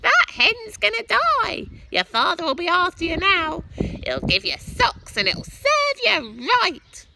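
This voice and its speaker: A woman's voice: very high voice, huge pitch swings, takes it slowly, creaky, noisy background, pitched above the performer's own voice, full character voice. A woman voicing a bossy, crotchety old grandmother from a children's storybook, scolding and boastful. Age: older. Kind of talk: animated children's storybook character voice.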